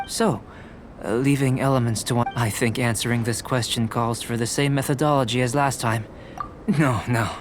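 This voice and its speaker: A man playing a young, scholarly alchemist in a video game, speaking in a measured, polite, analytical and detached way. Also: medium pitch, a little hiss or hum, lightly acted.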